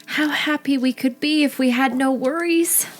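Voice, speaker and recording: A woman's voice: high voice, normal speed, natural voice. A woman playing a proper, ladylike eldest teenage sister in a period audio drama, with a prim, reproving manner. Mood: chiding, wistful. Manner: prim, scolding, wistful. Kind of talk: period radio-play acting.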